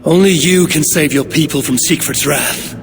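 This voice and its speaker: An actor voicing a steady Viking warrior leader who speaks in calm, terse, commanding lines. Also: male voice, on the high side, moderate pace, noisy background, natural voice. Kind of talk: video game cutscene. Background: music.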